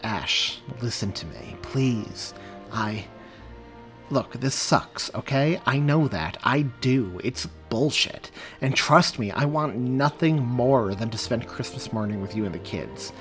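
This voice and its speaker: A man reading as a tired, apologetic middle-aged police officer and husband with a hoarse voice. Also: medium pitch, lively intonation, slow pace, a bit bright, faint music behind. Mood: pleading.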